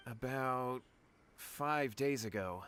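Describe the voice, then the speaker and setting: A man's voice: mid-range voice, takes it slowly, slight background noise. A man voicing an earnest, worried young man in a video game, pleading and insistent. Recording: soft game music behind.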